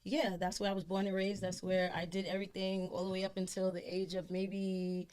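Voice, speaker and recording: A woman's voice: medium pitch, monotone, fairly quick, darkish tone. New woman on a podcast, easygoing, keeps saying you know. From Queens, New York. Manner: laid-back, repeats herself, lots of you-knows. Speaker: guest. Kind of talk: casual interview chat.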